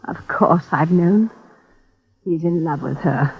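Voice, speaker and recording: An actress playing a bitter, ill wife who speaks coldly in a 1950s radio drama. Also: female voice, on the low side, slow. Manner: cold, bitter and clipped. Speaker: planter's sick wife. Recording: tinny old radio broadcast.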